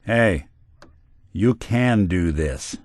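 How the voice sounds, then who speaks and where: An actor playing a world-weary robot detective in a video game, gruff, wry and kindly, with old-fashioned hard-boiled slang. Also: male voice, low-pitched, expressive, very slow, darkish tone, dry recording, clean studio sound, exaggerated voice. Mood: encouraging. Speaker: video game companion character. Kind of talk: noir detective game dialogue.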